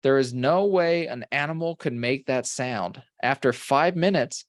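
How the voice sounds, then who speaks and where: A man's voice: mid-range voice, unhurried, no echo, studio quality. A man co-hosting a comedy podcast, reading ghost stories aloud with wry, bantering asides. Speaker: podcast co-host.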